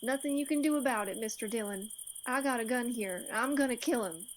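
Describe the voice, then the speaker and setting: Female actor as hurt, defiant frontier boy of ten, American. High, earnest voice, faint night crickets behind, audio western. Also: a little fast, a little hiss or hum, close to an everyday voice, rough recording. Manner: plaintive, earnest. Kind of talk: radio western acting.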